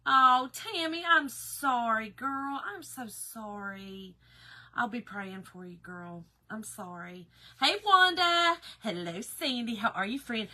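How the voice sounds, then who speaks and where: A middle-aged woman with a Southern Georgia accent, a little hoarse, hosting a craft livestream in a folksy, chatty way. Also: fairly high pitch, pushed and bright. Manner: folksy and chatty, calling viewers "girl" and "y'all". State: slightly hoarse. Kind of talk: casual live-stream chat greeting viewers.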